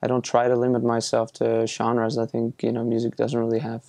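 A young man from Iceland talks through interview answers in a relaxed, hesitant way, with plenty of fillers and restarts. His voice is gravelly and dark, and his pitch stays flat and even. The sound is dry and close. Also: brisk, no room sound. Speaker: musician being interviewed.

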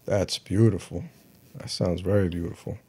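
A man's voice: low-pitched, steady tone, moderate pace, dark tone, slightly rough. A man hosting a podcast interview, asking questions and answering with short, encouraging replies. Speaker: podcast host. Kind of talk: casual interview chat.

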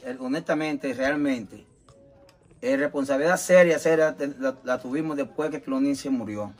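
A middle-aged Dominican man with a Dominican Spanish accent, answering interview questions in a plain, calm and devout way. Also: mid-range voice, moderate pace. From Dominican Republic. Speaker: interviewee, gagá musician and manager. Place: small wooden shrine shack, daylight.

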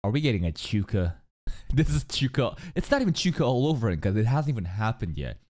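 A man's voice: lowish voice, a little fast. A young man streaming live reactions to an anime, talking back at the screen and swearing freely. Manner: animated, swears a lot, talks back at the screen. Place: small room indoors. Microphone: desk mic on arm.

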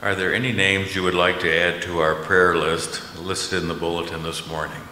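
An older man, a pastor, leading worship with solemn, measured liturgical readings and prayers in a church. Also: low-pitched, flat delivery, sharp tone, slight background noise. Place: large church sanctuary, at a pulpit. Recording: echoey church hall, long reverb.

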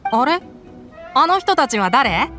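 A woman plays a small, eager little boy from a game, sweet and trusting as he pleads. The voice is high and bright over faint game music. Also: big pitch swings, slow pace, exaggerated voice. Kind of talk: Japanese game voice acting.

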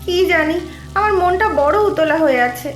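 Very high-voiced, fretful cartoon wife. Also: female voice, normal speed, reverberant, soft background music. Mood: anxious. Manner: fretful, homely, expressive. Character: anxious, caring village wife. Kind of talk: Bengali cartoon voice acting.

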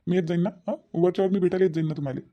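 A woman voicing a gentle, concerned village father in a family drama, with a Varhadi Marathi accent and a fussing, coaxing way of repeating himself. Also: lowish voice, rapid-fire, muffled recording, close to an everyday voice. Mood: coaxing, reassuring. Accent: Varhadi Marathi from Vidarbha. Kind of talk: amateur family drama acting.

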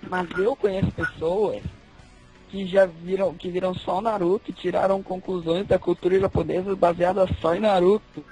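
A man's voice: high voice, steady tone, normal speed, a little mellow, soft background music, rough recording. A man co-hosting a casual podcast, talking in slangy chat. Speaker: podcast co-host.

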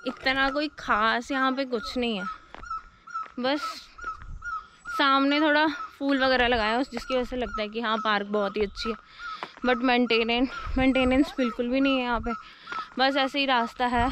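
A woman's voice: high-pitched, steady tone, normal speed. A young woman chatting casually on a travel vlog, mixing in English words. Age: young adult. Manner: mixes English words into talk. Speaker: travel vlogger. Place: outdoors on trails and in a moving car. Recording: noisy outdoor and in-car action camera.

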